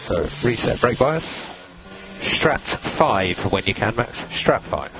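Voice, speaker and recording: A calm male race engineer giving clipped, procedural instructions over team radio, repeating key points. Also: fairly low pitch, takes it slowly, over music. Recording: noisy radio link, engine noise.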